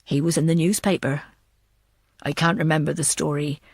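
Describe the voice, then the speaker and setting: A woman voicing a middle-aged male ex-prisoner in an audiobook, telling his story in a measured, self-satisfied way. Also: deep voice, level tone, creaky, breathy edge, no room sound, clean studio sound, pitched below the performer's own voice, exaggerated voice. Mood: defensive, matter-of-fact. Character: middle-aged male ex-prisoner, desperate, smugly reminiscing to interrogators.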